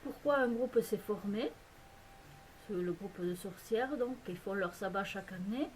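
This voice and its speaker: A woman's voice: mid-range voice, unhurried, a little hiss or hum, low-quality recording. A woman from France with a French accent, chatting casually to camera in a rambling, hesitant way with many fillers and restarts. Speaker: vlogger. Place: home in a Belgian village.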